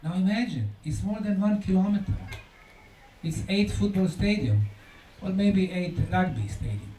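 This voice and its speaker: High-pitched, animated male lecturer. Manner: emphatic, deliberate, repeats key words for effect. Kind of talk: conference lecture. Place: conference hall lecture. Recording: echoey room, distant mic, faint noise.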